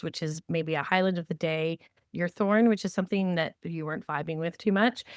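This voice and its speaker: A woman's voice: sits low, smooth, no echo, clean studio sound. A woman co-hosting a podcast, giving conversational advice in an enthusiastic, emphatic way and acting out the remarks she quotes. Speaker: podcast co-host.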